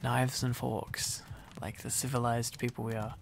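A man's voice: mid-range voice, level tone, a little slow, creaky, noisy background. A man playing a needy, silly, affectionate boyfriend in an intimate ASMR roleplay, rambling and teasing playfully.

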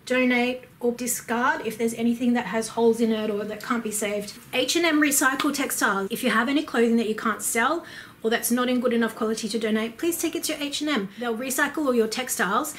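Chatty Australian woman vlogging at home. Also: a little high. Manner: upbeat, chatty, practical. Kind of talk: casual chatty vlog talk. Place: small bedroom by a wardrobe, indoors.

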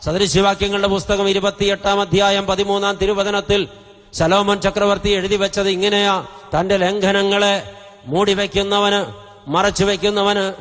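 Impassioned high-pitched male preacher, booming hall. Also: little pitch movement, moderate pace, a bit bright. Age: middle-aged. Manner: impassioned, exhorting, emphatic with repeated phrases. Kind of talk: fervent revival sermon. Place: convention stage at a podium. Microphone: stand microphone close to mouth. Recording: reverberant hall sound system.

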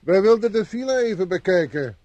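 An actor in a Dutch radio play as a sceptical police inspector, formal and authoritative. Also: male voice, high-pitched, expressive, brisk, a little mellow. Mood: brisk, wary.

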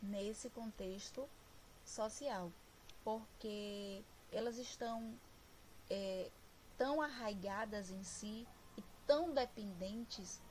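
A Brazilian woman student presenting a class topic in a slow, measured way with frequent pauses. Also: a little high, slight background noise, low-quality recording. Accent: Brazilian Portuguese. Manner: slow, measured, pausing often. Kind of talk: class presentation over slides.

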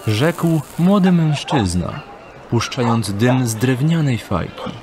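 A man's voice: medium pitch, expressive, takes it slowly, a crowd in the background. A man reading scripted narration for an audio drama.

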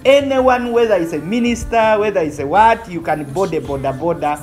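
A woman's voice: fairly low pitch, fairly quick, a little mellow. A woman with a Ugandan accent narrates a travel vlog casually, repeating phrases for emphasis. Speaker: vlogger. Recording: music under the voice. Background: music.

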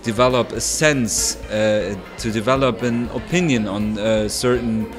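A man's voice: on the low side, measured pace, over music. Young man answering hesitantly. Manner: hesitant, searching for words. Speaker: conference participant. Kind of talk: interview answer.

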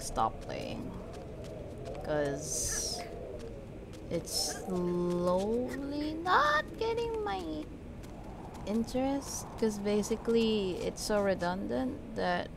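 Young woman playing a game n chatting, slow slangy talk, pitch jumps around, noisy w/ game ambience. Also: gravelly. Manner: informal, slangy, reactive. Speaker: gaming video creator. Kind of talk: casual let's-play commentary.

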